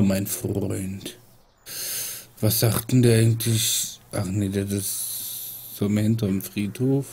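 Let's player, slow gravelly husky voice, stuffy nose, mutters at the game. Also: male voice, fairly low pitch, darkish tone. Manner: muttering, teasing the character. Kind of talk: casual gameplay commentary.

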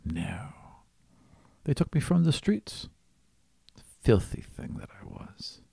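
A man voicing an old temple cat-creature teacher, grandiose and servile, with halting, emphatic phrasing. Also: mid-range voice, very animated, vocal fry, mellow, husky, dry recording, voice pushed up, full character voice. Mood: solemn.

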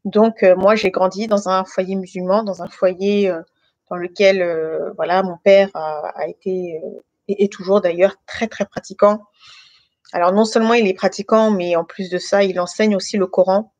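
A woman in her thirties from France, speaking informally and haltingly as she shares a personal testimony with a group. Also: medium pitch, steady tone, darkish tone, no echo, dull audio. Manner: hesitant, halting delivery with many pauses and repetitions. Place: living room at home.

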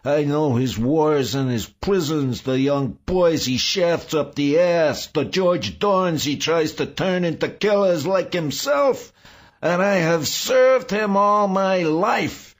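A man's voice: low voice, slow pace, breathy edge, exaggerated voice. A man voicing an anguished old man in an audiobook, ranting and crying out through tears. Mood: hysterical rage. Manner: shrieking, sobbing, ranting. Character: anguished weeping old man in hysterical revelation. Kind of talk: audiobook character acting.

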